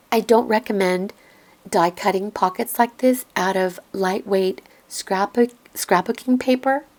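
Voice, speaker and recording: A woman narrates a crafting voice-over at an unhurried pace, stopping now and then to think aloud. Her voice is raspy and a little hoarse from a lingering cough, with a gravelly edge. She explains each step in a gentle, patient way. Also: mid-range voice. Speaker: crafting tutorial host. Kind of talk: calm instructional voiceover. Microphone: voice-over recorded apart from the video.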